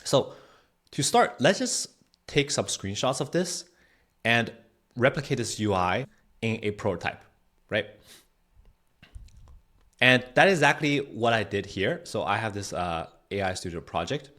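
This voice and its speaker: A man hosting a software tutorial, explaining things in a conversational way as he walks through a screen demo. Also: fairly low pitch, sing-song, a little slow, pauses often. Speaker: host, product manager giving a tutorial. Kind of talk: tutorial narration with screen walkthrough.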